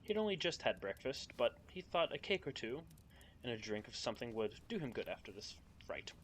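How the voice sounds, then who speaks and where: A man's voice: mid-range voice, big pitch swings, fairly quick, a bit bright, slight background noise. Nervous, giggly young man reading aloud. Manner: giggly, nervous, chats with viewers between passages. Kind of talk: casual live-stream book reading. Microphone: home streaming mic.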